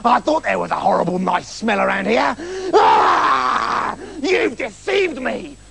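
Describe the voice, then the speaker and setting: A man's voice: extremely high pitch, vocal fry, fairly bright tone, raised voice, pitched above the performer's own voice, exaggerated voice. A man voicing a wicked, furious old witch with a screechy, scolding voice in a storybook tale. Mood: outraged. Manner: gloating, scolding, drawn-out cries.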